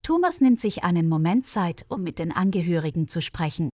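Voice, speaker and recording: A woman's voice: fairly low pitch, lively intonation, fairly quick, darkish tone, no echo. Woman narrating, very dry close sound. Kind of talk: scripted story narration for language learners.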